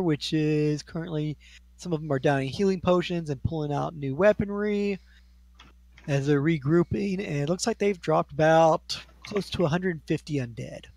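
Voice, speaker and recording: A game master narrating scenes and outcomes in a tabletop role-playing game, describing rooms in an unhurried, descriptive way. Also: medium pitch, vocal fry, a little mellow, dry recording.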